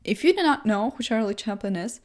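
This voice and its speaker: A young woman, English not her first language, thinks out loud in a loose, rambling monologue. She talks at a middle pitch and keeps restarting her sentences with fillers. She pokes fun at herself as she goes. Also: expressive, a little fast. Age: young adult. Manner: chatty, self-deprecating, full of fillers and restarts. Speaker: vlogger. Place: seated indoors in a chair.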